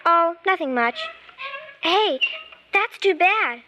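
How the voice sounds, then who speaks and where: A woman's voice: extremely high pitch, expressive, takes it slowly, bright, forceful tone, exaggerated voice. An actress playing a young girl in an old-time radio drama, earnest and persistent, with hesitant childlike phrasing. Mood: guarded, curious. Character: young girl, persistent, curious, anxious but brave. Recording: vintage radio recording with faint music.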